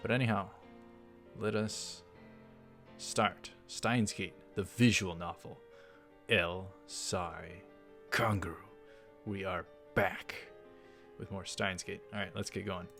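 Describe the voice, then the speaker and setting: A man giving casual, rambling, halting game commentary as the host of a let's play. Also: sits low, fairly bright tone. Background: faint game music.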